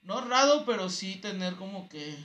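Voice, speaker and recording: High-pitched Mexican male co-host, laid-back and slangy, agreeing often. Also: animated, normal speed, sharp tone. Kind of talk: casual chat.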